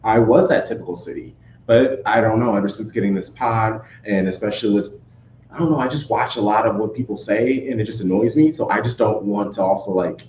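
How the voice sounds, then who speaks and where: A man's voice: on the low side, a little mellow. A man talking casually as a football fan guest on a sports podcast. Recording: roomy, dull sound with faint noise.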